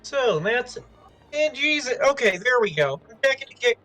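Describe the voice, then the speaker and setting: A man's voice: extremely high pitch, very animated, moderate pace, pushed and bright, soft background music. A man chatting casually between story readings, explaining himself at length in a self-deprecating way. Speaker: co-reader voicing characters.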